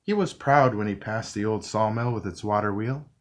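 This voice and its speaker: A man reading a children's picture book aloud, narrating gently and expressively. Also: on the low side, normal speed. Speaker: storybook reader. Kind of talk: children's read-along narration.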